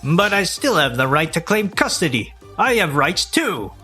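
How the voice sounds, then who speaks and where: A selfish, blustering young husband acted by a man in a manga drama. His indignant, whiny delivery swings widely in pitch, with light music underneath. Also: sing-song, normal speed, bright, forceful tone. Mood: frustrated. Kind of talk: manga dub acting.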